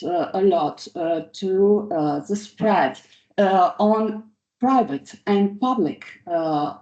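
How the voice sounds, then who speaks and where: A middle-aged woman with a Romanian accent delivers a formal conference address, halting often on fillers. Her tone is mellow and dark, and the sound carries the echo of a large hall. It is slightly dull and lo-fi. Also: mid-range voice. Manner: halting, with frequent filler pauses. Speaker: conference co-organizer and speaker.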